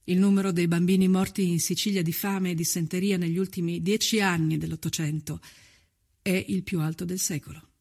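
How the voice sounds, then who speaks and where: A woman narrating a scripted historical documentary with solemn, deliberate, measured phrasing. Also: fairly low pitch, fairly even intonation, a little mellow.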